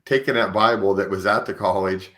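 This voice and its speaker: A man hosting an interview podcast, recapping and prompting his guest in a deliberate way. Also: fairly low pitch, steady tone, bright, forceful tone. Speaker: podcast host.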